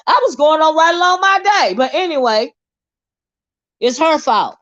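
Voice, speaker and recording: A woman hosting a casual livestream with sassy, animated, teasing talk and frequent laughter. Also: sits high, normal speed, dry recording, muffled recording. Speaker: channel host.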